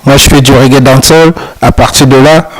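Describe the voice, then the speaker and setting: A man with a Congolese accent, a reggae musician, answers interview questions in a halting way, full of fillers. His pitch sits in the middle range. The recording sounds lo-fi, like radio. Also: fairly even intonation. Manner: hesitant, many "euh" fillers.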